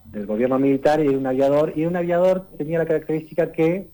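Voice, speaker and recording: A man, giving a conversational radio literature column and explaining things unhurriedly, often pausing on "eh" and tagging his sentences with "¿no?". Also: fairly high pitch, fairly even intonation, dark tone, rough recording. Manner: unhurried and explanatory, tags lines with "¿no?" and "eh".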